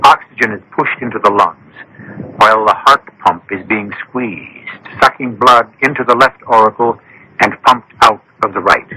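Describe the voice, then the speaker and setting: A man hosting a radio drama with grave, measured, scripted narration. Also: fairly low pitch, bright, forceful tone, breathy edge, dry recording. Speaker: host narrator. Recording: noisy old radio broadcast.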